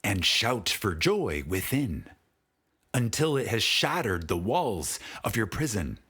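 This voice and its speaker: A man with a fairly low, husky voice reads a spiritual audiobook. His delivery is solemn and dramatic, with wide rises and falls in pitch. He takes his time, setting phrases apart with long pauses. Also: very animated, pushed and bright. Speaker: audiobook narrator.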